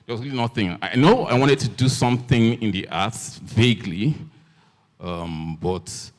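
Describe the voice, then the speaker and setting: A man from Port Harcourt with a Nigerian accent, a writer speaking haltingly with many ums and restarts on a festival panel. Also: lowish voice. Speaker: author on a panel. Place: festival event hall.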